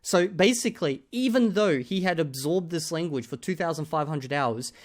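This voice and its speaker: A man's voice: on the high side, expressive, moderate pace. Emphatic man rebutting in casual commentary. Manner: emphatic, often tags points with "okay?". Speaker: YouTuber reacting to another video.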